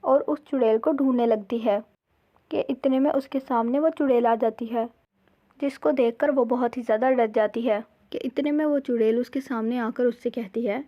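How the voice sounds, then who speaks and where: A woman's voice: on the high side, fairly even intonation, moderate pace. A woman storyteller reading scripted narration for a cartoon village tale. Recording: slightly dull, lo-fi sound.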